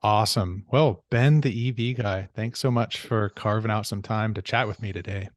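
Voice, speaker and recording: A man's voice: lowish voice, moderate pace. A man hosting a podcast, introducing a guest and asking friendly, upbeat questions. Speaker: podcast host.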